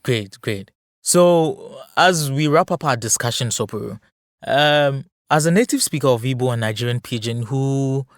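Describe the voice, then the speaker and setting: A man hosting a podcast, asking measured questions and thanking his guest politely. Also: medium pitch, dry recording, studio quality. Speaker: podcast host. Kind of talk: interview hosting.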